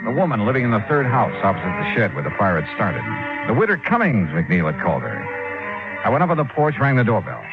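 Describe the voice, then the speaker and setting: An actor playing a hard-boiled but courteous insurance investigator, wry and clipped, in 1950s radio detective style. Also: male voice, lowish voice, wildly swooping pitch, quick pace. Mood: wry, narrating. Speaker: detective lead and narrator. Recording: thin, phone-like old radio recording with music.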